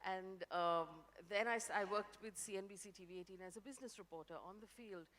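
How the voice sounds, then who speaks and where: A woman in her forties with an Indian English accent, a journalist speaking on stage, articulate and measured. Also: medium pitch, a bit bright. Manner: articulate, measured, light laughs. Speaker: journalist guest. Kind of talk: conference fireside chat. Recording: reverberant conference hall.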